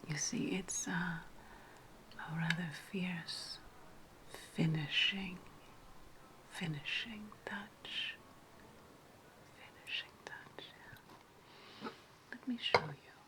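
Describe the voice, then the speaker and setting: Slow whispering, spiteful witch played by a woman. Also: lowish voice. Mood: sly, confiding. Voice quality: breathy, unvoiced whisper. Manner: slow, deliberate, sweetly sarcastic. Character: wry, spiteful ancient Roman witch, single woman. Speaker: ASMR roleplay performer. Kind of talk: whispered ASMR roleplay.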